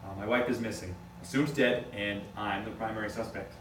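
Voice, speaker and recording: A man playing a wry, rattled young rich businessman in a table read, with a halting, matter-of-fact delivery. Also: on the low side, steady tone, fairly bright tone, natural voice. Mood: uneasy, explaining. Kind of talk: amateur script table read. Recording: echoey room, distant mic, faint noise.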